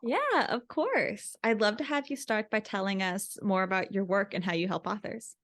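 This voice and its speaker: A woman hosts an interview podcast in an upbeat style. Her voice sits at an average pitch for a woman as she introduces and questions a guest. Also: normal speed, a bit bright.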